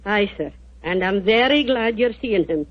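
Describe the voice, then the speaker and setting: Actress for landlady, motherly and fretting, husky, dull old broadcast. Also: medium pitch, expressive, moderate pace, lightly acted. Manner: chatty, motherly, worried. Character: Mrs Hudson, fussing Scottish landlady. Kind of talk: 1940s radio acting. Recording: muffled old 1940s radio broadcast.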